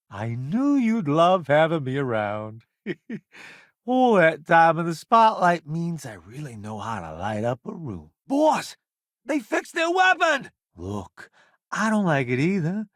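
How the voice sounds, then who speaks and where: Video game space crewmate: showy ex-celebrity food critic, unhurried, very expressive, wry and self-assured. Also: male voice, fairly high pitch, no echo, clean studio sound, exaggerated voice. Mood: cocky, amused, then alarmed. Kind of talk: video game character voice lines.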